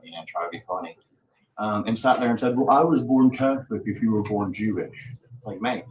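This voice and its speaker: A man hosting a livestream, rambling casually in a boastful way with plenty of swearing. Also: medium pitch. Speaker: livestream host. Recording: roomy, distant mic, faint noise.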